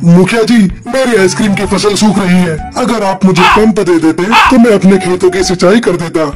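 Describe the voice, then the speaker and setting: A man performs an anxious, humble crow from a children's cartoon. His voice is high for a male, with an earnest, plaintive delivery. Music is clearly heard behind him. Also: moderate pace, lightly acted. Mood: pleading. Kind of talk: Hindi cartoon story voice acting.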